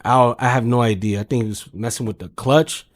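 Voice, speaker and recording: A man's voice: medium pitch, fairly even intonation, fairly quick. A man speaking as a podcast guest and car salesman, telling casual, slangy anecdotes and acting out quotes.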